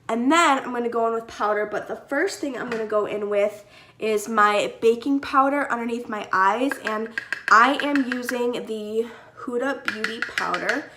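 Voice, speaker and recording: A woman's voice: a little high, sharp tone. A young woman with a slightly stuffy nose from a cold, chatting casually through a makeup tutorial with many "like" and "um" fillers. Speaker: beauty vlogger.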